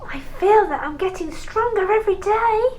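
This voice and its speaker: A woman's voice: extremely high pitch, expressive, moderate pace, darkish tone, a little hiss or hum. A woman acting a frail, cheerful, loving little boy in an audio play, in a childlike manner. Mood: affectionate, hopeful.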